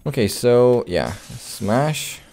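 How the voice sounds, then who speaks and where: A man's voice: mid-range voice, expressive, extremely slow, creaky, darkish tone. A man doing casual gameplay commentary with a hesitant, rambling, playful delivery. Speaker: let's play host.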